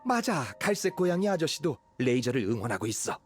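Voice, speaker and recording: A man's voice: on the high side, sing-song, fast talker. An actor playing a genial middle-aged father in an anime game, hearty and avuncular. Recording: music behind the voice.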